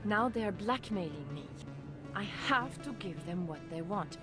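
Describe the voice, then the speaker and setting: An actress playing an anxious, gentle clinic doctor in a video game, speaking in an earnest, worried way. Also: female voice, medium pitch, lively intonation, measured pace, music in the background, close to an everyday voice.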